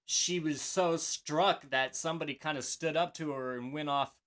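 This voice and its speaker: A man's voice: on the high side, normal speed, a bit bright. A man co-hosting a casual wrestling podcast, rambling with many fillers and restarts. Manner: rambling, filler-heavy, hesitant. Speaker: podcast co-host.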